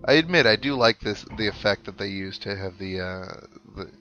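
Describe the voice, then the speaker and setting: A man's voice: fairly low pitch, lively intonation, a little fast, pushed and bright, rough recording. A man giving casual, wry let's play commentary with sarcastic asides. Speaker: gaming video host. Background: game music.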